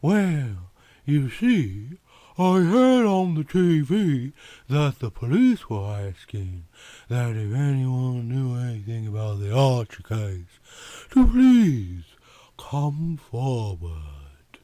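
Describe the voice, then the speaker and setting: A man's voice: mid-range voice, sing-song, slow, dark tone, dry recording. A man voicing a flirty red-haired woman in her thirties who speaks with a thick southern drawl. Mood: coy.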